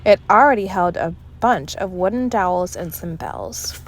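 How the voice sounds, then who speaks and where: A woman's voice: lowish voice, normal speed, slight background noise. A woman narrating a children's chapter book aloud as a storyteller. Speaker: storybook reader.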